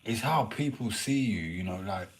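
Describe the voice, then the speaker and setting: A man's voice: fairly low pitch, animated, moderate pace. A man casually chatting and rambling to his viewers on a live stream, swearing now and then. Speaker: livestreamer.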